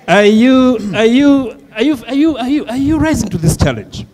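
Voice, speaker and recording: A man's voice: extremely high pitch, expressive, normal speed, a little mellow, a faint crowd. A man moderating a panel discussion, pressing speakers with teasing, provocative questions. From Southern Africa.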